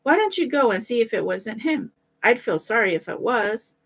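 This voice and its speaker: A woman's voice: on the high side, big pitch swings, moderate pace, fairly bright tone, husky, exaggerated voice. A woman voicing a fretful, indignant sexton's wife in a fairy tale. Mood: anxious. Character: anxious, outraged wife of a village sexton.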